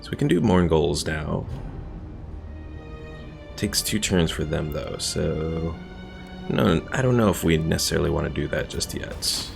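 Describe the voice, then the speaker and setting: Gaming streamer, male, deep and gravelly, casual let's-play narration with a relaxed chat to viewers. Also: a little slow, long pauses. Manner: relaxed, thinking aloud, chatting to viewers. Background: game music.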